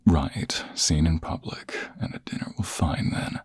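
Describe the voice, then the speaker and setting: A man playing a smug, seductive office rival in an intimate ASMR roleplay, with a husky, breathy half-whisper and slow, teasing, flirtatious delivery. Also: a bass voice, exaggerated voice. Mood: competitive, playful.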